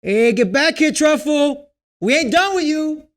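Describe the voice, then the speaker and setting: A man's voice: very high-pitched, lively intonation, a little slow. A man acting a crude, mocking teenage street punk in slangy talk at a table read. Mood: rowdy.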